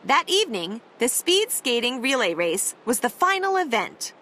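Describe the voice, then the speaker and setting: High-voiced, very animated woman reading a storybook. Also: moderate pace, slight background noise. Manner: expressive, dramatic, playful emphasis on words. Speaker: read-aloud storyteller. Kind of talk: animated children's picture book reading.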